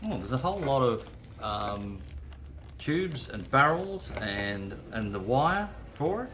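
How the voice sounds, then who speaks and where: A man's voice: medium pitch, sing-song, slow, a bit bright, slight background noise. An older man casually showing a model kit from his hobby shop, rambling with fillers and restarts. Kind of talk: casual product unboxing. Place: hobby shop floor, indoors.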